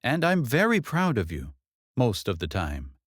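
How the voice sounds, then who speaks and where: Guy reading as blunt older mom from an audiobook, proud and sharp tongued, pitched up, really lively. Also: measured pace, no room sound, clean studio sound. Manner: emphatic, blunt, rhetorical questioning. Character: older mother, wise, proud, sharp-tongued, bitter about in-laws.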